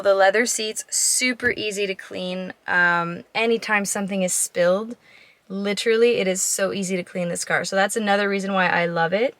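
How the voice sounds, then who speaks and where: A woman's voice: medium pitch, fairly bright tone, no room sound. Young woman, scratchy cold voice, chatty vlogger, camera at arm's length in a parked car. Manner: chatty, frequent ums and likes. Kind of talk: casual car tour vlog. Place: inside a parked SUV and outdoors on a driveway. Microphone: handheld camera at arm's length.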